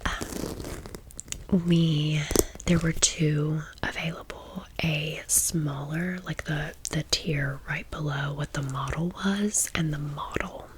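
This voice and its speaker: A woman's voice: low voice, fairly even intonation, slow, slight background noise, rough recording. A woman in her thirties whispering a casual ASMR storytime in chatty, slangy millennial phrasing. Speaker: ASMR creator.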